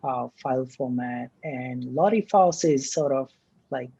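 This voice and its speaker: A man from the Maldives, a startup CTO, answering interview questions in a relaxed, hesitant way with many fillers. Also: medium pitch, a little slow, vocal fry, slightly rough, muffled recording.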